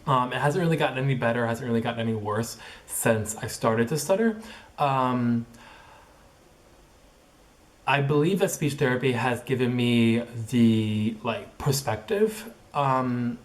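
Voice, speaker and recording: A young man speaks to camera in a casual vlog, his voice sitting at an ordinary middle pitch. He stutters, repeating first sounds and locking up on words. His phrasing is halting and crowded with likes and ums. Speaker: vlogger. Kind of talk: casual personal vlog monologue.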